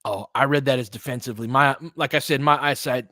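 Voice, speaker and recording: A man's voice: mid-range voice, steady tone, quick pace, pushed and bright, no room sound, clean studio sound. A man hosting a sports stream in a casual, conversational way, thinking aloud and qualifying his opinions as he answers listeners. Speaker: sports livestream host.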